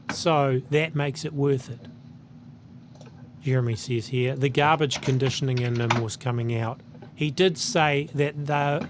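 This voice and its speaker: A man's voice: mid-range voice, a little hiss or hum. A man with a New Zealand accent, hosting his channel and earnestly reading a letter aloud.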